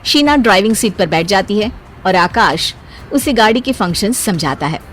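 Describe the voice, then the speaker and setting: A woman narrates a scripted family story. Her delivery is animated and varied, with faint noise behind the voice. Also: mid-range voice, moderate pace.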